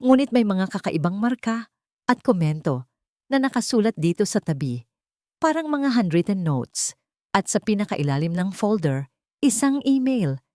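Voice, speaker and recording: A woman's voice: mid-range voice, sing-song, normal speed, dark tone, no room sound, studio quality. A woman narrating a scripted dramatic story.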